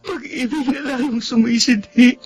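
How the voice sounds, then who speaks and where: A man's voice: extremely high pitch, normal speed, soft background music. An actor playing an anguished young man secretly in love with his male friend, in melodramatic radio drama style. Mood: anguished, tearful. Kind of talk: Tagalog radio drama acting.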